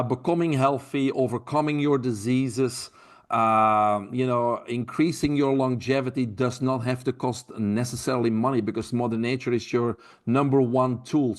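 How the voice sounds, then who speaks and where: A man with a Belgian accent, a health mentor speaking earnestly as a podcast guest, often repeating words as he thinks. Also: medium pitch. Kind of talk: podcast interview.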